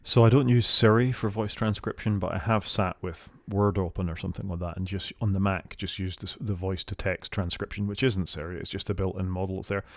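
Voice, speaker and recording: A man with a Scottish accent co-hosting a casual tech podcast, wry and measured, often trailing off quietly at the ends of phrases. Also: low-pitched, fairly even intonation, dry recording, clean studio sound. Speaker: podcast co-host.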